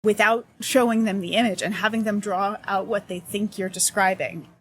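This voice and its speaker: A woman's voice: medium pitch, fairly even intonation, moderate pace, a bit bright, a little hiss or hum. A woman talking as a guest in a casual interview, thoughtful and searching for her words. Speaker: accessibility consultant, guest.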